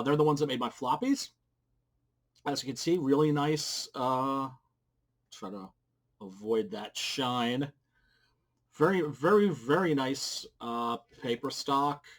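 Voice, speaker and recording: A man's voice: medium pitch, slow pace, long pauses. A middle-aged man hosting a casual how-to vlog, talking in a blunt, informal way. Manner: blunt, informal, mildly profane. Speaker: comic book creator and video series host. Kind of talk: casual instructional vlog to camera. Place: small wood-panelled room at home, indoors. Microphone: large studio mic on boom arm with pop filter.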